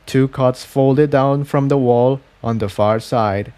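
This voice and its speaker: A man's voice: mid-range voice, fairly even intonation, slow pace, warm tone, clear, resonant tone. A man reading scripted fiction as an audiobook narrator.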